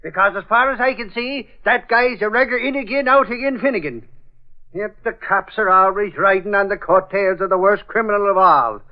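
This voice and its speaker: An actor in 1940s radio style playing a talkative, grumbling comic sidekick with a folksy manner. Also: male voice, high voice, brisk, close to an everyday voice. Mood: exasperated. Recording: muffled 1940s radio recording.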